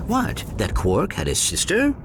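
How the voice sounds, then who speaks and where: Polite, lilting little robot sidekick. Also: male voice, sits high, wildly swooping pitch, a little slow, exaggerated voice. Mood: puzzled. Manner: formal, precise, no contractions. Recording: noisy ambience behind voice.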